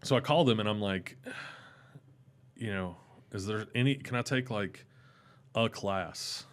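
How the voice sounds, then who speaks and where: Man, loud voice that carries, animated storytelling, fairly low pitch. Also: slow. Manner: animated, rising in pitch when excited. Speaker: podcast guest. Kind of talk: casual storytelling interview.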